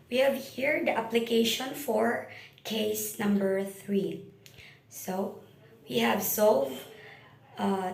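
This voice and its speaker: A hoarse young woman presenting a math lesson step by step as a student reporter. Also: on the high side, roomy sound. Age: young adult. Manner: unhurried, step-by-step explaining.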